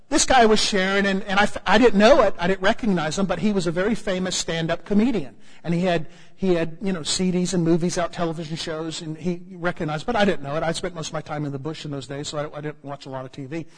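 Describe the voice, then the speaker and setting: A male preacher with an American accent spins a funny personal story from the pulpit. His voice sits high and a little husky. It rings out through a large hall with a long reverb tail. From United States. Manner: humorous, animated storytelling with asides. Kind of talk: storytelling sermon.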